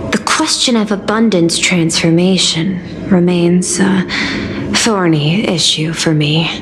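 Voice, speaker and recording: A woman voicing a calm, calculating machine intelligence in a video game, formal and precise, with a courteous air of superiority. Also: lowish voice, noisy background. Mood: coolly superior. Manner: formal, precise, measured diction. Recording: music behind the voice.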